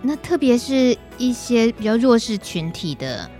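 A woman's voice: fairly high pitch, sing-song, a little slow, warm tone. A woman with a Taiwanese Mandarin accent hosting a radio show in a casual, conversational interviewing style. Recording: music playing behind the voice.

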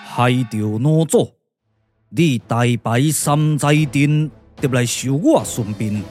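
A solemn hero strategist acted by a man speaks in a Taiwanese accent for a puppet-theatre radio play. The voice is dropped low and grave, resolute and measured, with faint music. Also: a little mellow.

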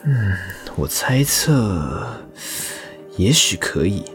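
A man's voice: on the low side, big pitch swings, faint music behind, heavily acted. A man playing a thoughtful, courteous university professor in an audio drama, pondering as he explains. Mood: scholarly, cautious. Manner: measured, scholarly, pondering.

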